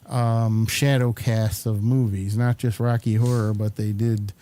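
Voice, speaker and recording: A man hosting a casual talk show, speaking in a hesitant, halting way. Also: lowish voice, flat delivery, warm tone. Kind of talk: casual conversational interview.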